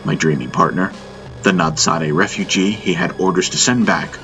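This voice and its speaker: A man reading a science-fiction audiobook as a dramatic scripted narration. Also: deep voice.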